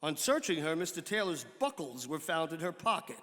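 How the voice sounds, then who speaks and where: Higher-voiced man declaiming in an echoing hall. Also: big pitch swings, fairly quick, pushed and bright. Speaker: actor giving a dramatic reading. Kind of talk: dramatic reading of an 18th-century pamphlet. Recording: long hall reverb.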